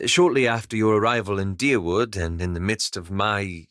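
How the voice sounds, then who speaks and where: An actor playing a reserved, bookish adventurer in a video game, speaking formally and politely with cautious, apologetic hesitance. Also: male voice, fairly low pitch, normal speed, fairly bright tone, no room sound, clean studio sound, lightly acted. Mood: measured, confiding. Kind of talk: video game character dialogue.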